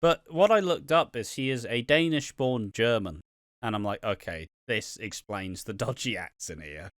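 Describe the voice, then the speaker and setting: A man's voice: lowish voice, expressive, normal speed, fairly bright tone, dry recording, clean studio sound. A man co-hosting a casual podcast about a TV soap, swearing freely and acting out characters' lines. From United Kingdom. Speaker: podcast co-host.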